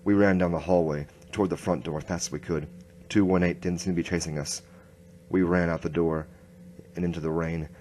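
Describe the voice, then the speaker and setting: A man's voice: low-pitched, fairly even intonation, fairly quick, darkish tone, slight background noise, natural voice. A man playing a grim hard-boiled inspector, narrating tersely in a noir radio drama. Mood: grim narration.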